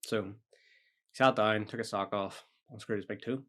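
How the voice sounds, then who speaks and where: A man's voice: lowish voice, steady tone, a little slow, fairly bright tone. A man hosting a podcast, reading out a prepared story in a casual way with offhand asides. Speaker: podcast host telling true-or-false stories.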